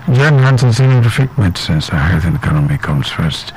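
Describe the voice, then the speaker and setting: A man's voice: sits low, sing-song, brisk, a little mellow, a little hiss or hum, low-quality recording. A man hosting a radio health show, reading the news and interviewing a guest in a chatty, loose way with lots of fillers.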